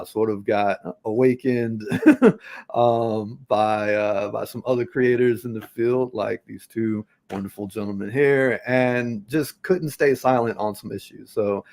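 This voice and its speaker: A man's voice: on the low side, gravelly, darkish tone. A man appearing as a guest on a podcast, talking in a friendly, chatty way with many fillers. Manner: friendly, chatty, with lots of "like" and laughs. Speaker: podcast guest, content creator. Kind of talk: casual interview chat.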